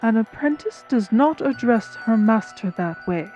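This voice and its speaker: A haughty female master healer in a fantasy drama, acted by a woman. She is stern, formal and commanding in a fairly high voice, slightly dulled by the recording, with music underneath. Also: lively intonation, a little slow, dark tone. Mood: stern, scornful. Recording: slightly dull microphone, music behind.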